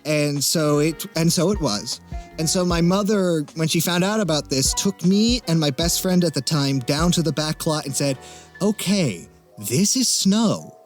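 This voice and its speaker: A young man speaks in a somewhat high register, in the relaxed style of an interview guest. He is articulate and wry, pausing as he thinks through his answers. Faint music plays behind him. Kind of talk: casual interview chat.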